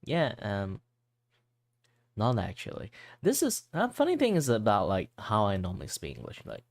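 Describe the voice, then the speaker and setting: A young man from Malaysia talks casually on his art stream. His voice sits fairly low, and the sound is close and dry with no room echo. He rambles through fillers and restarts, slipping in and out of Malaysian English as he goes. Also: huge pitch swings, normal speed, creaky. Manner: switches at will into Malaysian English. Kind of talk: casual chat while drawing on stream.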